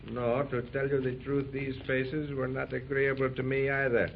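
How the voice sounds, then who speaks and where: Man doing a formal, measured expedition leader, mid-pitched, dull old 1930s radio recording, faint hiss. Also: flat delivery, creaky, close to an everyday voice. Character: composed male expedition leader, stiffly formal. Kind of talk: 1930s radio serial acting.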